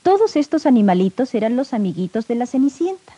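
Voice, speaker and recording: A woman's voice: fairly high pitch, very animated, fast, dark tone, husky. A woman narrating a scripted children's story on an old 1950s record. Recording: dull, lo-fi 1950s 78 rpm record. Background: music.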